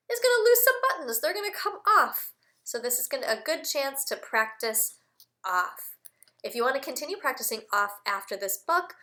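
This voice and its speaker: A woman teacher doing an animated read-aloud for young children, with exaggerated emphasis, simple explanations, questions and bits of singing. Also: a little high. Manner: simple explanatory phrasing with repeated words. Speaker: speech-language teacher reading aloud.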